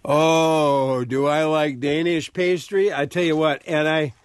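A man hosting a call-in radio show, reacting warmly to his guest and callers. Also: on the high side, fairly even intonation, slow, smooth. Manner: friendly, reactive.

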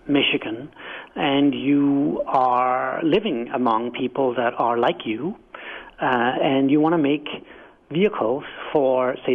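A middle-aged man from Denmark with a Danish accent, a book author answering radio interview questions thoughtfully, with many fillers and restarts. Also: mid-range voice. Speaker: author and guest. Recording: phone-line guest audio.

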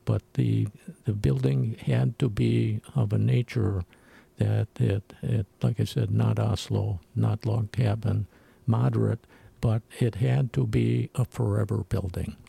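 A man giving a folksy, unhurried account as a guest on a radio talk show. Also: lowish voice, steady tone, gravelly, warm tone, slightly rough, no echo. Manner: slow, folksy storytelling with chuckles. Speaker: guest, museum founder. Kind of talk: casual radio call-in conversation.

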